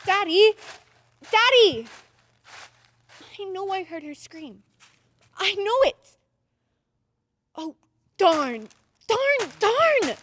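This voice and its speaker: A woman's voice: very high-pitched, very animated, very slow, pauses often, fairly bright tone, no echo, full character voice. A woman playing an earnest, insistent ten-year-old girl in an old-time radio drama, urgent and pleading. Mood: desperate, excited.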